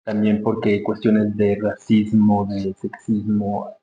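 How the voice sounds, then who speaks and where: A man's voice: fairly low pitch, completely flat delivery, slow pace. A man with an Ecuadorian accent talking casually as an interview guest, informal and reflective, thinking aloud. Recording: roomy, dull sound with faint hum.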